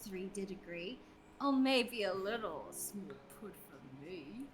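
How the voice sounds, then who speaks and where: A giggly, excitable woman doing a playful read-aloud among friends. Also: mid-range voice, takes it slowly, slight background noise.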